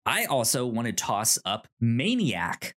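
A man co-hosting a review podcast in casual chat, with a halting, thinking-aloud delivery. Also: medium pitch, sing-song, a bit bright. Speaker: podcast co-host.

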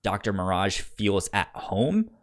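Man talking slowly and haltingly, lively pitch. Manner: halting, with repeated sounds and words. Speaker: podcast co-host. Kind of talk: casual book discussion.

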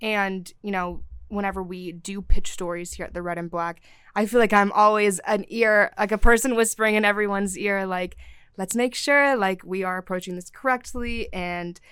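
A woman's voice: mid-range voice, fairly even intonation, normal speed, fairly bright tone, smooth, no echo, studio quality. A young woman from Syria, a student journalist answering interview questions earnestly with frequent "you know" fillers. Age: young adult. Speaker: guest, news desk assistant and DEI chair.

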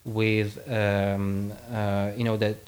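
A man's voice: lowish voice, completely flat delivery, slow pace, a little mellow. A man whose first language is Russian, a guest philosopher explaining his ideas with many fillers and restarts, and reading his own passage aloud.

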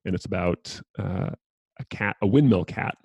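Male podcast host, low-pitched and gravelly, casual conversational delivery, close dry sound. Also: moderate pace, darkish tone, dry recording. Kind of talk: casual chat.

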